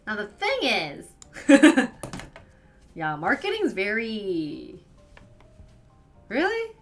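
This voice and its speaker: A woman with a Wisconsin accent from the United States, chatting casually with viewers as a live streamer and firing quick questions back at them. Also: medium pitch, huge pitch swings, soft background music. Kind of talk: casual chat answering viewers.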